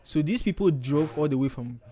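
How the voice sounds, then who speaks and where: A man's voice: fairly high pitch, lively intonation, normal speed, mellow. Chatty Ghanaian man hosting a livestream. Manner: chatty, thinks aloud, frequent okays. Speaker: livestream host, designer. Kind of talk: casual live design tutorial.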